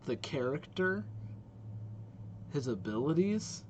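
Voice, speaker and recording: A man's voice: a little high, very animated, slow, slight background noise. A young man hosting a reaction video, musing aloud in casual, informal commentary and often repeating his exclamations.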